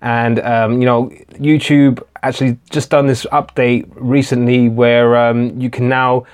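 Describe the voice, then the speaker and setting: A man's voice: mid-range voice, flat delivery, measured pace, darkish tone, smooth. Chatty man hosting a live stream. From England. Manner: chatty, hesitant, many fillers and repeated starts; reads viewer comments aloud and answers them. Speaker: tech channel host.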